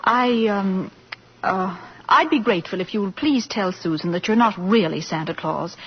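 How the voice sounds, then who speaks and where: A woman playing a no-nonsense, guarded working mother in a 1940s radio drama, brisk, polite and firm. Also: medium pitch, breathy edge. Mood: exasperated. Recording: muffled vintage 1940s radio broadcast.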